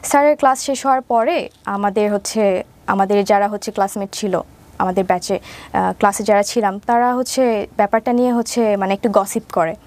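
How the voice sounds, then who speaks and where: A woman's voice: medium pitch, steady tone, brisk, darkish tone. A woman of around twenty from Mymensingh with a Bangladeshi accent, telling her life story conversationally as an interview guest, step by step with habitual filler phrases.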